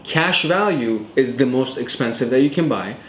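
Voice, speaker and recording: A man in his late twenties hosts a show, talking to camera in a relaxed, chatty way with plenty of fillers. His voice sits at an ordinary middle pitch. The room rings with noticeable echo, and a faint ambient hum stays underneath. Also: normal speed. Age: 20s. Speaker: host. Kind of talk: casual educational vlog talk.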